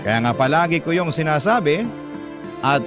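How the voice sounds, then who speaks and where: A man's voice: on the high side, animated, normal speed. A man with a Filipino accent narrating a scripted story in a dramatic classic radio style, as a program host. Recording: loud guitar music bed. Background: guitar music.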